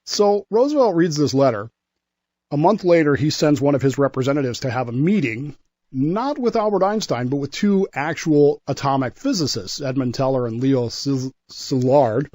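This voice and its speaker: A man's voice: mid-range voice, lively intonation, normal speed, a little mellow, no echo. Man telling history, dry close sound. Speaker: history segment guest. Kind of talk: conversational historical storytelling.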